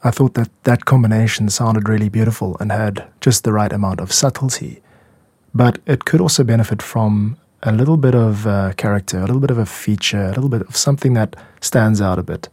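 A man's voice: on the low side, fairly quick, a little mellow, slightly rough, no echo. A man hosting a music podcast, explaining production work in a conversational, reflective and demonstrative way. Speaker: music podcast host and composer.

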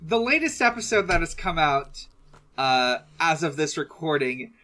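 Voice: mid-range voice, sing-song, unhurried, sharp tone. A podcast host chatting casually and reading out listener questions, hesitant and often trailing off mid-thought.

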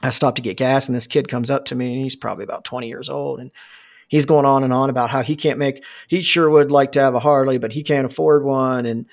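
Man, 40s, gravelly voice, casual talk, rambling, swears a lot, many fillers. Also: medium pitch, fairly even intonation, fast talker. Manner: rambling and profane, with frequent fillers and restarts. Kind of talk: casual conversation.

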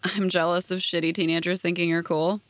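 A woman playing a sardonic, deadpan high school staffer in a naturalistic audio drama. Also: fairly quick, no room sound, close to an everyday voice. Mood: needling, resentful.

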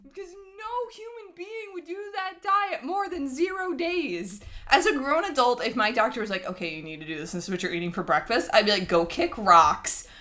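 Bright-voiced woman telling casual stories. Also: sits high, lively intonation, normal speed, hardly any pauses. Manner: wry, self-deprecating and emphatic. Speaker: podcast co-host. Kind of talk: casual personal storytelling.

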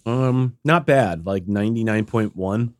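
Stuffed-up guy cohosting a chat show, halting and rambly, lots of ums, dead dry sound. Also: lowish voice, no echo. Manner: rambling, halting with many fillers and restarts. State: congested, recovering from illness. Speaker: podcast co-host. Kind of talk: casual chat.

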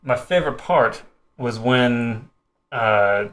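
Man, thirties, co-host, casual group chat, informal, halting delivery, repeated words, medium pitch. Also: a bit bright. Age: 30s. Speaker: co-host of a movie review show.

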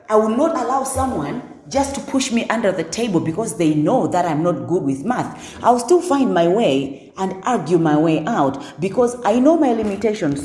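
A woman with a deep voice, talking assertively in a casual podcast conversation, repeating words for emphasis. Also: mellow, roomy sound. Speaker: guest.

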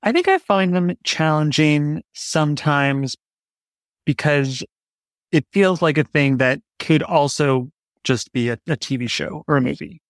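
Gravelly male writer being interviewed. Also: fairly high pitch, a little slow, no room sound, studio quality. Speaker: podcast guest, playwright. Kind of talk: casual interview conversation.

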